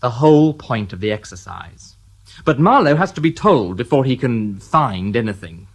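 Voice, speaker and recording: A sardonic police inspector. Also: male voice, medium pitch, big pitch swings, normal speed. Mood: probing. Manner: dry, mocking, incisive. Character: sharp, sardonic male detective inspector. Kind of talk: radio drama acting.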